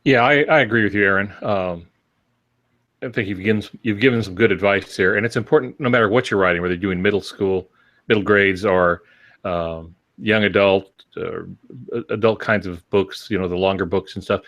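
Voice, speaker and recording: An older man speaks in a low-pitched voice, recorded dry and close. He co-hosts a podcast and offers advice in a casual, conversational style. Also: moderate pace, a bit bright, no echo, highs cut off. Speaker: podcast co-host. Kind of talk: casual advice-giving talk.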